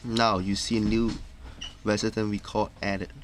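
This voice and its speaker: Low-voiced man giving step-by-step instructions, noisy recording. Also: level tone, a little slow. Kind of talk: step-by-step tutorial narration. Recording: clearly noisy background.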